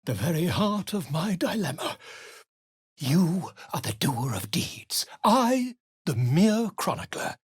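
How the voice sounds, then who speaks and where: Video game role: a fussy, pompous chronicler. Theatrical and wordy, with a husky voice and lively pitch. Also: male voice, slow, no room sound, studio quality, heavily acted. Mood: flamboyant. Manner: theatrical, florid, wordy and wheedling. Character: pompous, flamboyant male author and chronicler, inquisitive and fussy.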